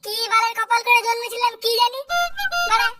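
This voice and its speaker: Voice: completely flat delivery, fairly quick. পিচ বাড়ানো গলায় একজন অভিনয়শিল্পী কার্টুন কমেডির এক অলস, ঘ্যানঘেনে, গালিবাজ তরুণ ছেলের চরিত্র করছে।. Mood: weary grumbling. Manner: brash, grumbling, crude slangy swearing. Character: whiny foul-mouthed slacker young son, reluctant bridegroom. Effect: pitched-up cartoon voice. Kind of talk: exaggerated cartoon comedy dialogue. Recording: distant sound under loud music.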